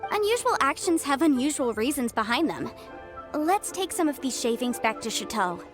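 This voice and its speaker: An actress playing a bright, confident young female legal advisor in an anime-style game, articulate and brisk. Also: sits high, big pitch swings. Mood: businesslike. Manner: articulate, brisk, self-assured, lawyerly. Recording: music behind the voice.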